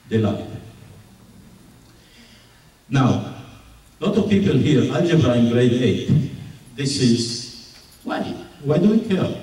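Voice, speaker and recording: A man with an Israeli accent giving a slide lecture at a public forum, animated, with mocking rhetorical questions. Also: medium pitch. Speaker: presenter. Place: large echoey hall. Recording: echoey hall recorded from far off.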